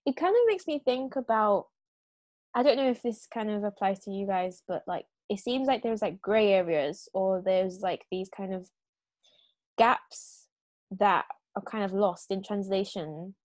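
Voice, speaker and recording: A woman hosting a casual discussion, thinking aloud with many fillers and pauses. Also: mid-range voice, darkish tone, dry recording. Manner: hesitant, searching, many fillers. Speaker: podcast host.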